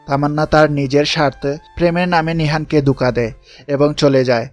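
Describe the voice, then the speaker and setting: Man, narrator, scripted delivery, raised pitch, faint musical backing. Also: steady tone, a little fast, faint music behind. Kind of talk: scripted story summary narration.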